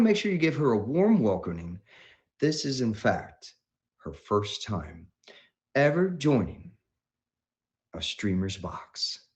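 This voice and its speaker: A man hosting a livestream, talking in an enthusiastic, rambling way with many halting pauses. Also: lowish voice. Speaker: livestream host.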